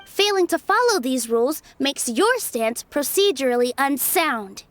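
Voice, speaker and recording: A woman's voice: high-pitched, big pitch swings, measured pace, slight background noise. A woman voicing a young female dancer who argues her case earnestly and firmly. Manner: earnest, firm in argument. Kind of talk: game voice acting.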